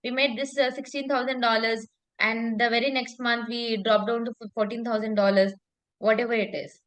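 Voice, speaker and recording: High-voiced woman coaching emphatically. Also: little pitch movement, fairly quick, fairly bright tone, low-quality recording. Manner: emphatic, repeats phrases, checks with "right?". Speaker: public speaking coach. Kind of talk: coaching in conversation.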